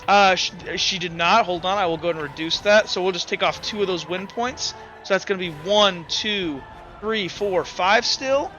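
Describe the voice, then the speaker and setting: A man's voice: high-pitched, normal speed, bright, forceful tone, muffled recording. A man acting as game master in a tabletop roleplaying game, talking casually and swearing freely. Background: background music.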